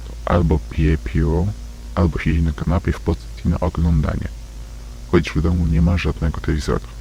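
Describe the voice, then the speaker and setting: A man's voice: extremely low pitch, warm tone, low-quality recording. A man narrating a scripted analog horror case file in a detached, report-like manner. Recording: faint hum and background noise.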